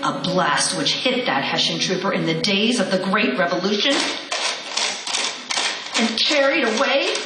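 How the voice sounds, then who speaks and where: A woman's voice: medium pitch, lively intonation, measured pace, long pauses. A woman narrating a spooky legend in a vintage radio drama, storytelling dramatically. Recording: echoey radio recording with music.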